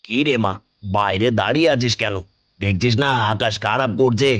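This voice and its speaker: A man playing a gentle, weary poor village father, plain-spoken and affectionate, in a Bengali cartoon fairy tale. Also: fairly low pitch, a little fast, no echo, close to an everyday voice. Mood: tender, tired. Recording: faint rain noise behind.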